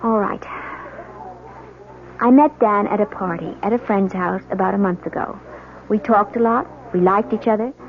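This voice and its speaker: A woman's voice: mid-range voice, moderate pace, long pauses, close to an everyday voice. A woman playing a defensive, indignant young newlywed wife in a radio drama. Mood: guarded. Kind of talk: 1970s radio drama acting. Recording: tinny, noisy old radio broadcast.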